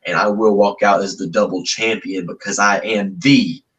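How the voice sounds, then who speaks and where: Cocky young man with a deep voice. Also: fairly even intonation, normal speed, a bit bright. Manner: cocky, trash-talking, repeats catchphrases. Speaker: backyard wrestler guest. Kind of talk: boastful wrestling promo talk.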